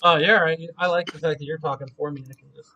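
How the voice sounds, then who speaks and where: A young man chatting casually and joking while he builds a map on stream, thinking out loud as he works. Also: fairly high pitch, steady tone, fast, lo-fi sound. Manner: joking, laid-back, thinking aloud. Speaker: mapmaker streaming his work. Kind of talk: casual chat while working.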